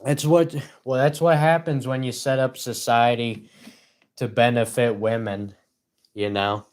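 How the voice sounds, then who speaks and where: A young man with a gruff voice, rambling cynically on his livestream and laughing between points. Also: medium pitch, fairly even intonation, unhurried. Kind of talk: casual solo livestream rant.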